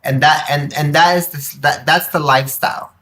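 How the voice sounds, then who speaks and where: A woman guest on a podcast telling personal stories candidly, with an animated, emphatic manner and acted-out quotes. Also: low-pitched, fairly quick. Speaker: podcast guest, nonprofit board member. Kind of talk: candid personal storytelling in conversation.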